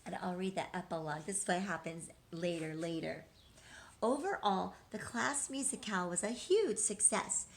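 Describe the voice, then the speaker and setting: A woman's voice: fairly low pitch, expressive, moderate pace, a little hiss or hum. A woman leading an interactive read-aloud and lesson for young children, asking questions and answering them in an encouraging, reassuring way.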